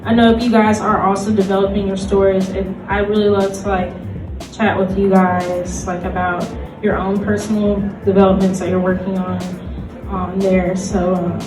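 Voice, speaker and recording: A woman talks in a casual, chatty way as a panel host. Her voice is medium in pitch, picked up from a distance in a roomy space, with music clearly heard behind it. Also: flat delivery, moderate pace. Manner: informal, chatty. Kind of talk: casual convention panel talk. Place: convention panel room.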